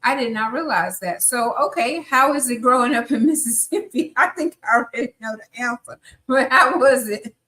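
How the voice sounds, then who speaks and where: A woman's voice: on the high side, animated, normal speed, sharp tone, low-quality recording. A woman in her fifties hosting a live podcast interview, chatty and encouraging. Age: 50s. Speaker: podcast host.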